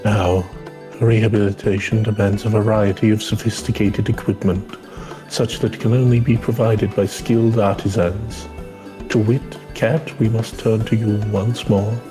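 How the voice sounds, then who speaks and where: A man playing a calm, gracious knight in a video game, speaking formally and politely. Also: on the low side, measured pace, music in the background, natural voice. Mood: businesslike.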